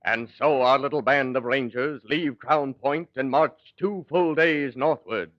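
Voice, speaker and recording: A man's voice: mid-range voice, unhurried, natural voice. A man acting as the earnest narrator of a mock historical saga, in formal 1940s radio style. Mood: solemn storytelling. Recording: dull 1940s radio recording.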